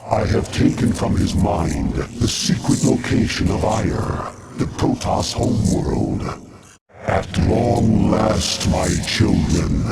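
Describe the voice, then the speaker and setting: A man voicing an ancient, godlike alien overmind in a video game, grandiose and solemn, with a gravelly, otherworldly voice. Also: full character voice. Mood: triumphant. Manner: solemn, grandiose, slow proclamation. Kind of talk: dramatic video game voice acting. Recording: loud rumbling creature noise behind.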